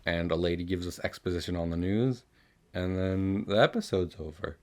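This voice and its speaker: A man's voice: low voice, fairly even intonation, moderate pace. A young man giving a casual, rambling recap as a podcast host, swearing freely as he goes. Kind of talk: casual chatty recap of an animated series.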